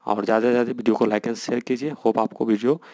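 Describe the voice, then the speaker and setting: A man's voice: mid-range voice, little pitch movement, fast, darkish tone, dry recording. A man with an Indian accent hosting a channel, explaining informally to viewers in a repetitive, insistent way. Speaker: YouTube channel host.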